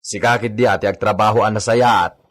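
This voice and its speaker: A man's voice: lowish voice, steady tone, brisk, fairly bright tone. An actor with an Ilocano accent playing a touchy, grumbling hacienda worker in a radio drama, blunt and challenging in his way of talking.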